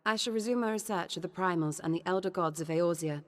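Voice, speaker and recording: An actress playing a cool, scholarly woman in a fantasy game, speaking formal, measured English. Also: on the low side, close to an everyday voice. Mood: composed. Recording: long hall reverb.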